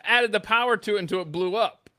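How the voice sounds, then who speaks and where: An American man co-hosting a podcast, bantering casually and reacting out loud. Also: sits high, fast, smooth. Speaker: podcast host.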